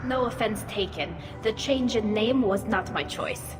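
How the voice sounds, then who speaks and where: An actress plays a young female alien engineer in a video game. She is earnest and loyal, with a medium-pitched voice and precise delivery. The sound is echoey, with loud music underneath. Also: measured pace, lightly acted. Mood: restrained. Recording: echoey hall reverb, loud music behind.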